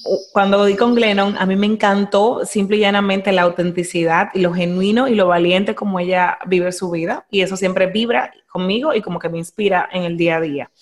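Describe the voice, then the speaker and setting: A young Dominican woman with a Dominican Spanish accent, hosting a podcast in animated casual chat, slipping into English phrases. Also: fairly low pitch. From Dominican Republic. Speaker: podcast host.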